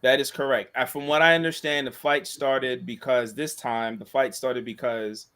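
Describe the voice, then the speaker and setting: A man in casual chat who tells a long story in a monotone voice, rambling with many restarts and asides. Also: mid-range voice, moderate pace. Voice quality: monotone voice, self-described. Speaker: co-host and school staff member.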